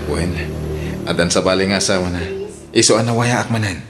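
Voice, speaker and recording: A man's voice: low voice, brisk, music in the background, close to an everyday voice. A man playing an earnest, emotional young suitor in a radio drama, with an Ilocano accent. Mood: cordial.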